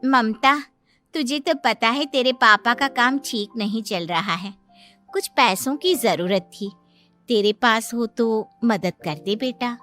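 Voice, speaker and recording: A woman's voice: fairly high pitch, sharp tone, soft background music. A woman voicing a needy, sheepish middle-aged mother in a cartoon story, with a pleading manner. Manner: slow, pleading.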